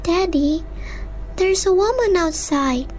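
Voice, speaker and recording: A woman's voice: very high-pitched, lively intonation, takes it slowly, a little mellow, heavily acted. A woman voicing a small, frightened little girl in a student radio drama. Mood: fearful.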